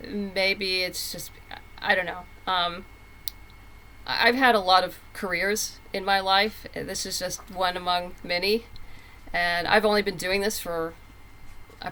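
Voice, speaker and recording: Female interview guest, bright forceful voice, conversational, slips into quoted asides. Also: medium pitch, slight background noise, poor audio. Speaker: interview guest, comic book writer.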